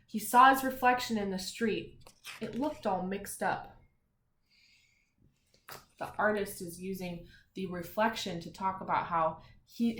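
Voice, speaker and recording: Female primary teacher for children's read-aloud, expressive delivery with gentle questioning asides, mid-range voice, roomy echo. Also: mid-range voice, a little hiss or hum. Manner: expressive, emphatic when reading, softer conversational asides; encouraging, pauses to question young listeners. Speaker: teacher reading a picture book to pupils. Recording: echoey room sound.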